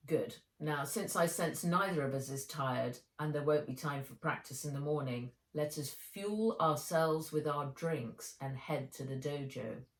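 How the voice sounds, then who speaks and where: A woman's voice: low-pitched, unhurried, hardly any pauses. A woman voicing a solemn, caring male kung fu mentor with formal, authoritative speech in a storybook reading. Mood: calm, firm. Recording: echoey room.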